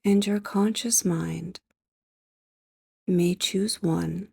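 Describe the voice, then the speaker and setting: A woman leading guided sleep hypnosis in a soft, breathy, near-whispered voice, gentle and measured, with long pauses, close on the microphone. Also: fairly low pitch, fairly even intonation, no echo.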